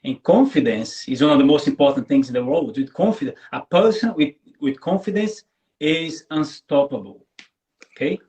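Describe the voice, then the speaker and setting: A man's voice: fairly high pitch, moderate pace, a little mellow. Earnest man, native Portuguese speaker. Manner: earnest, repeats words, tags lines with "right?". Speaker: guest content creator. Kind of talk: live interview chat.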